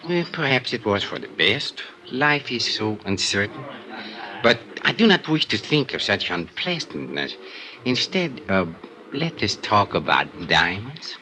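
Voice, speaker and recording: Oily French-accented crook, mock-courteous, evasive, sharp bright voice, swinging pitch, old radio drama. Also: male voice, big pitch swings, measured pace, slight background noise, exaggerated voice, low-quality recording. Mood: smooth, evasive. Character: oily, evasive French-speaking Casbah smuggler and killer. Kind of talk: 1950s radio drama acting.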